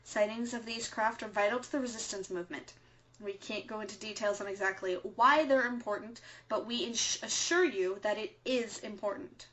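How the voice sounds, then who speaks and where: A woman's voice: medium pitch, normal speed, a bit bright, lo-fi sound, slight background noise, close to an everyday voice. A woman playing an earnest, dutiful resistance broadcaster co-hosting an underground radio show in an audio drama, with an announcer-like manner. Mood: official, earnest.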